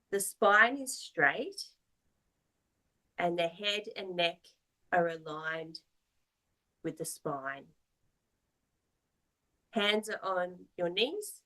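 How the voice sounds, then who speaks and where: A woman teaching yoga, guiding a meditation in a soothing, measured, gently instructive manner. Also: lowish voice, low-quality recording.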